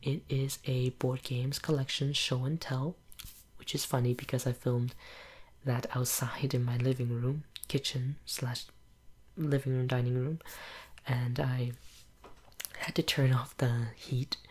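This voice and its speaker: A young woman whose first language is Cantonese, chatting in a soft ASMR whisper at home, rambling with lots of fillers. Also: very deep voice, steady tone, measured pace, slightly rough. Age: young adult. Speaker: ASMR channel creator. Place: home room with green screen.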